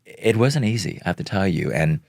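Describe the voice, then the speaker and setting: A middle-aged man from Vietnam whose first language is Vietnamese, talking slowly and earnestly as an interview guest, pausing often with fillers. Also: sits low, expressive, gravelly, darkish tone, no echo, studio quality. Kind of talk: reflective interview talk.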